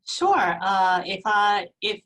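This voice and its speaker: A woman's voice: mid-range voice, very animated, slow, fairly bright tone, far from the mic, a little hiss or hum. A woman in her forties from Japan with a Japanese accent, a guest in an interview, speaking earnestly with frequent restarts. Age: 40s. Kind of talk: casual interview.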